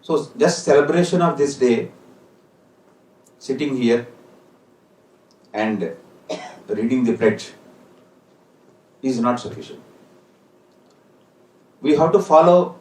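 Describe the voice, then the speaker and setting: A man's voice: medium pitch, expressive, takes it slowly, long pauses, darkish tone. A man with an Indian accent giving a formal, exhortative ceremonial speech as a senior company official. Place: indoor function hall with PA. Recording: echoey hall, distant microphone.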